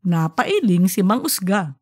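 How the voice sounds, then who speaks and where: A woman's voice: medium pitch, sing-song, measured pace, slightly rough. A woman narrating scripted fiction as the storyteller of an audiobook podcast.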